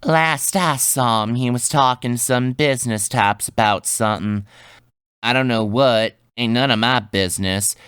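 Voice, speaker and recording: Clear-voiced, surly Old West bartender, acted by a man. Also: medium pitch, moderate pace, a bit bright, no echo, studio quality, lightly acted. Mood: surly, wary. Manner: blunt, folksy, unwelcoming. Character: surly, wary male Wild West saloon bartender. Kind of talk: audio drama acting.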